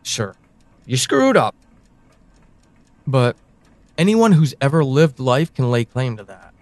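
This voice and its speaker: A man playing a brooding, knowing confidant in an audio drama monologue, speaking deliberately to one listener with pointed pauses. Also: medium pitch, sing-song, dry recording. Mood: reassuring, grave. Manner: deliberate, halting delivery with sighs and pointed pauses. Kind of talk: audio drama monologue, second-person address.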